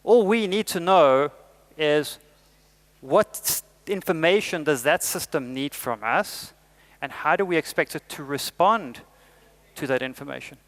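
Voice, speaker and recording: A man's voice: on the high side, measured pace. A middle-aged man from South Africa with a South African accent, giving a conference talk with emphatic rises on key points and frequent "uh" fillers. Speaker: conference speaker.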